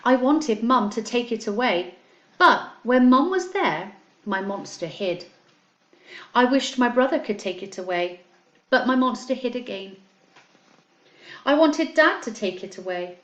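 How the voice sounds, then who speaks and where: An echoey recording of a woman with a mid-pitched voice, speaking as a primary teacher to young pupils. She delivers her words in an expressive storytelling style, leaning on key words and keeping things simple and clear for children. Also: highs cut off. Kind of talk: children's story read aloud, addressing pupils. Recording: echoey, roomy sound.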